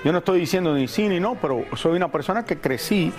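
A man's voice: high voice, lively intonation, fast talker, soft background music. A man from Cuba who grew up partly in Spain, hosting a television show with emphatic, unscripted opinion. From Cuba, raised partly in Spain. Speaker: TV show host. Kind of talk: unscripted opinionated studio chat.

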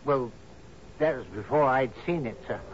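A man's voice: medium pitch, normal speed, natural voice. An actor in a radio drama playing a loyal, agreeable young man, deferential and hearty toward his captain. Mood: loyal, reminiscing. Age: 20s. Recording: dull, noisy 1970s radio recording.

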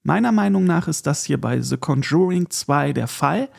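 A man's voice: on the high side, lively intonation, moderate pace, gravelly, a little mellow. A man hosting a podcast, chatting informally through news and opinions and addressing the listener directly. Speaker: podcast host. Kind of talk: casual solo news and review commentary.

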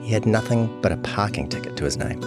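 A man's voice: on the low side, animated, fast talker. A man reading a scripted true crime long-read as narrator. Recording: music behind voice. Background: guitar music.

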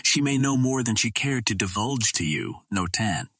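A man's voice: fairly low pitch, animated, moderate pace, fairly bright tone, dry recording, clean studio sound. A man voicing a suspicious, coolly confident Martian ruler who issues imperious orders in an audiobook story. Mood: contented, scheming.

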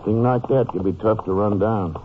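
An actor playing a sober, plain-spoken, folksy Texas sheriff in an old-time radio crime drama. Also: male voice, lowish voice, fairly even intonation, moderate pace, close to an everyday voice. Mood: pondering. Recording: muffled, noisy vintage radio recording.

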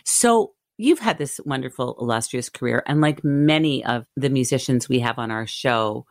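Deep-voiced woman show host. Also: expressive, moderate pace, no room sound, clean studio sound. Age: middle-aged. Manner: warm, gushing, with wordy set-up questions. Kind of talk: interview hosting.

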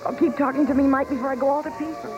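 An actress playing a devoted, anxious showbiz wife in a 1950s radio drama, on a muffled broadcast with music. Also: female voice, high-pitched, fairly even intonation, very fast, natural voice.

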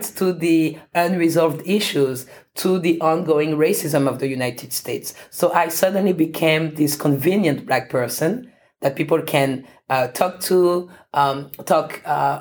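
A woman from France, a professor speaking as an interview guest, reflecting at length with frequent restarts and fillers. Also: sits low. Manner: thoughtful, many restarts and fillers.

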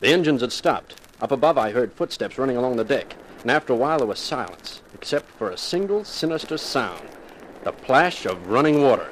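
An actor playing a wry, hard-drinking private detective in a 1950s radio crime drama, with sardonic delivery. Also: male voice, medium pitch, animated, fairly quick, slight background noise, lightly acted, rough recording. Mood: wry, brooding. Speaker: lead actor and narrator.

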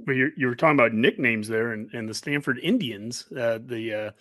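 A man's voice: mid-range voice, fairly quick, fairly bright tone, no echo, clean studio sound. A man hosting a casual, chatty interview. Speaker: interview host.

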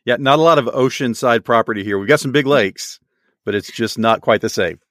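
A man's voice: medium pitch, a little fast, fairly bright tone, no room sound, studio quality. A man hosting a book podcast, who reads an upbeat introduction and then asks questions with enthusiasm. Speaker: podcast host. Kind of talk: scripted intro then casual interview.

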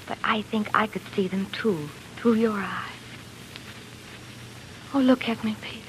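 A woman's voice: medium pitch, measured pace, slight background noise, close to an everyday voice, rough recording. An actress playing a tender young woman in love, pleading and romantic, in 1940s radio drama style. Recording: 1940s radio broadcast, faint music.

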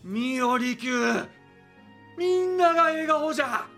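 A man's voice: very high voice, takes it slowly, pushed and bright, faint music behind, full character voice. A man playing an exuberant, imperious warlord ruler in a Japanese period audio drama, with grandiose, old-fashioned lordly speech. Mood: exultant, grand.

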